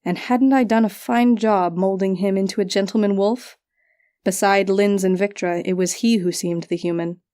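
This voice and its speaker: Female audiobook narrator for literary fantasy; mid-pitched, dark, soft-toned delivery in the first person. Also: steady tone, normal speed.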